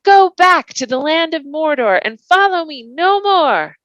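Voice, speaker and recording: Storybook hero: small defiant male hobbit, played by a woman, weary, strained, raised pitch, dull recording. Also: big pitch swings, measured pace, fairly bright tone, no echo, exaggerated voice. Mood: defiant, desperate. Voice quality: thin, strained cry.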